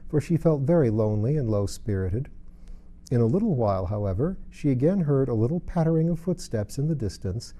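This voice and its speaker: A man's voice: mid-range voice, warm tone. A man narrating a storybook as an audiobook reader.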